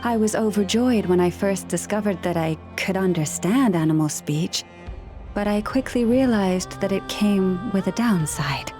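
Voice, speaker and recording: A woman playing a gentle young woman who understands animal speech, soft and patient with a quiet wry edge. Her pitch sits in an ordinary middle range. Faint game music hums behind her. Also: a little slow, close to an everyday voice. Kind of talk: game character dialogue.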